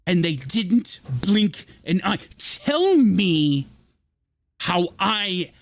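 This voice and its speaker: A man playing a bitter, theatrical villain in a tabletop roleplay, delivering grandiose, deliberate, impassioned speeches. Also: high voice, very animated, vocal fry, no echo, heavily acted. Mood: grave, embittered. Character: bitter, theatrical villain justifying his fall from hero. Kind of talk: dramatic in-character monologue in a tabletop roleplay.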